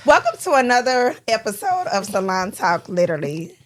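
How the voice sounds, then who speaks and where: A woman's voice: medium pitch, sing-song, faint music behind. A woman hosting a casual salon-industry podcast. She chats easily, laughs often and stumbles a little over read-out introductions. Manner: chatty, laughs often, reads introductions haltingly. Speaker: podcast host. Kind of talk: casual chat.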